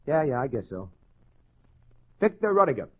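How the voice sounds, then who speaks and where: Small-time gangster, 1940s radio crime drama. Husky, slangy, wisecracking ladies' man with lively intonation. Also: mid-range voice, normal speed. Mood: casual, lively. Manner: slangy, wisecracking tough-guy talk. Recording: muffled old radio broadcast.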